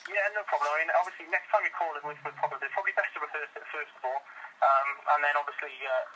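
A man with a British accent handles a customer service call. He talks quickly in a slightly high voice. The telephone line is clearly noisy. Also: bright, forceful tone. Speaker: customer service phone agent.

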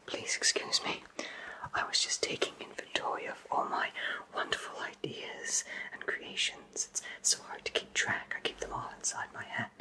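A man whispers right into the mic, playing a cheerful fantasy potion shopkeeper. His delivery is slow and genteel, with theatrical pauses. The whisper is crisp and bright, made for ASMR roleplay. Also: poor audio. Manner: theatrical, genteel, alliterative phrasing with deliberate pauses. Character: cheerful, courteous, flowery-spoken potion shopkeeper in a fantasy town. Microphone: close microphone.

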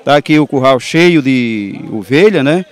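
A man's voice: medium pitch, lively intonation, unhurried. A middle-aged man, hosting roving interviews at an outdoor livestock market with a handheld mic, folksy and upbeat. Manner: folksy, upbeat, addresses viewers directly. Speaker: host.

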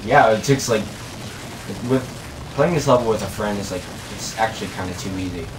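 A man with a lowish voice talks in a loose, rambling way, tossing in "like" between thoughts as he plays. He sounds set back from the mic in an echoey room. Game noise runs clearly underneath him. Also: a little fast, far from the mic. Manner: rambling, laid-back, says "like" a lot. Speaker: co-op game commentator. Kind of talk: casual gaming chat. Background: video game sounds.

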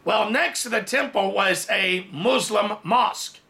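A man in his forties, raised in Thailand, preaching a sermon in his study and telling a personal testimony with lively hand gestures, recorded on a camera at desk distance. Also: sits high, unhurried. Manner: animated storytelling with big hand gestures. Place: home study with bookshelves, indoors.

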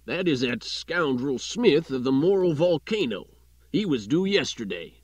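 A man's voice: a little high, big pitch swings, unhurried, no echo. A man voicing an irascible, blunt, indignant newspaper editor in an audiobook story. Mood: gruff, unbothered. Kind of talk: audiobook character voice.

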